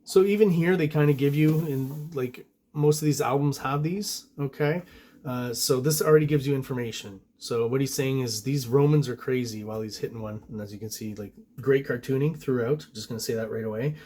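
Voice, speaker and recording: A man from Quebec, a native French speaker, hosting a relaxed comic book review with rambling asides and lots of fillers. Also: mid-range voice, brisk, a little hiss or hum. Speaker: host and comic artist.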